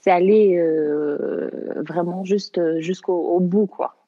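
A woman from Canada talks casually as a guest in an interview. Her voice sits a little low, and she searches for words, often repeating the start of a phrase. The recording is somewhat dull and lo-fi. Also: slow, mellow. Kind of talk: casual, halting interview conversation.

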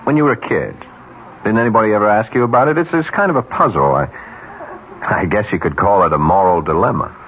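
Deep-voiced, scheming American executive. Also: male voice, animated, slight background noise. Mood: sly, musing. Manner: sardonic, rhetorical, drawn-out musing. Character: scheming, cynical male ad executive, slyly confident. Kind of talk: 1970s radio drama acting. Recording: dull lo-fi 1970s radio recording.

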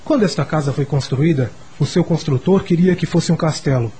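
An actor playing a lonely, sickly rich schoolboy in a Brazilian radio drama, confiding in a childlike way, with a Brazilian accent and a husky voice. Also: male voice, a little high, brisk, mellow. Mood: confiding, wistful.

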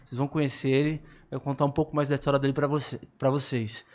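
A man's voice: mid-range voice, little pitch movement, racing. A man co-hosting a podcast, talking in an upbeat, informal and promotional way. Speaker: podcast co-host. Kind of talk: casual podcast chat.

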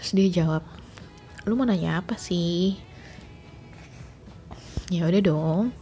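A woman's voice: fairly low pitch, takes it slowly, dark tone, faint music behind. A woman, telling a story in a rambling, chatty way full of fillers. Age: late 20s. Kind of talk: casual storytelling monologue.

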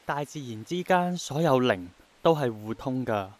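An actor playing an idealistic, gentle young man in a radio drama, reflective and earnest, with a Hong Kong accent. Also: medium pitch, lively intonation, moderate pace, natural voice. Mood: wistful, earnest. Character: idealistic, persistent young man longing for rain to return.

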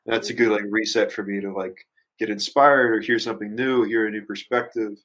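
A man in his early twenties with a fairly low voice talks as a musician being interviewed. He is casual and reflective, wandering through his thoughts in youthful slang. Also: steady tone, fairly quick, a bit bright. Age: early 20s. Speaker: musician guest.

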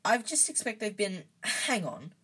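A woman voicing an earnest, exasperated young boy in a storybook, speaking urgently and haltingly. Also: medium pitch, big pitch swings, bright, forceful tone, breathy edge, voice pushed up, full character voice. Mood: suspicious. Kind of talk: storybook character voice.

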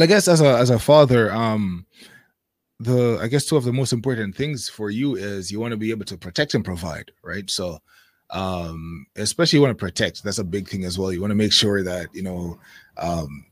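A man in his thirties, a guest in a casual interview, speaking thoughtfully with many pauses and fillers. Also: on the low side, expressive, no room sound, studio quality.

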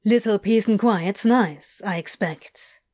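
Awkward stammering demon man, voiced by a woman, slow and hesitant. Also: medium pitch, a little mellow. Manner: halting, mumbling, stammering. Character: lanky laid-back male demon, awkward.

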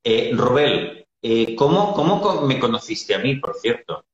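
A man's voice: mid-range voice, animated, measured pace. A man hosting an interview, prompting with short questions and hesitant fillers. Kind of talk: casual interview. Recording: echoey muffled remote line.

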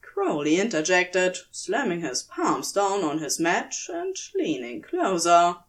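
A woman's voice: lowish voice, very animated, slow. A woman narrator reading scripted fiction, telling the story between lines of dialogue.

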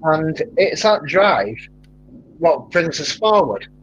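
An excitable man with a broad Mancunian accent tells stories in a casual podcast chat, his voice climbing high whenever he gets worked up. His tone is a little husky, and the recording sounds dull and lo-fi. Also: slow. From England (Manchester). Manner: animated storyteller, laughs often, rising pitch when excited.

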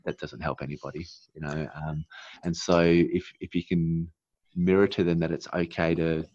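A man raised in Australia after a New Zealand childhood, speaking as an interview guest in an earnest, halting way with many fillers. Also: low voice, level tone, a little mellow, muffled recording. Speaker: guest, naturopath. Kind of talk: casual interview chat.

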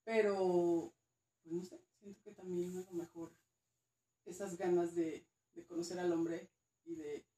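A woman's voice: on the low side, a little slow, mellow, roomy sound, recorded from a distance, low-quality recording. A woman with a Mexican accent, a guest archaeologist chatting casually, hesitant and repeating words now and then. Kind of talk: casual interview chat.

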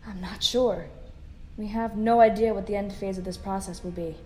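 A woman's voice: medium pitch, fairly even intonation, moderate pace, a little mellow, a little hiss or hum, natural voice. A woman playing a starship officer who is firm but kind with a young crewman. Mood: calm. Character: caring, steady female starship officer. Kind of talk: audio drama acting.

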